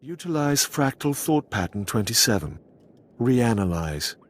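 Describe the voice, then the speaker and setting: An actor playing an emotionless intelligence analyst who recites data in a clipped, deadpan way, like a computer, in a video game. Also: male voice, medium pitch, a little slow. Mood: detached.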